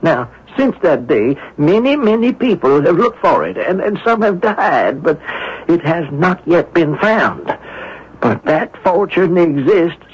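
A man playing a flustered older antique dealer in a radio drama, husky and halting, repeating his words. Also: on the high side, very animated, heavily acted. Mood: confiding. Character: flustered, grateful antique dealer, wary and talkative. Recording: dull lo-fi 1970s radio broadcast.

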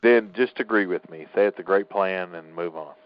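A man's voice: low-pitched, moderate pace. A man hosts a casual talk show. He banters and teases, stating his opinions freely. The audio is somewhat dull and lo-fi. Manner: teasing, opinionated.

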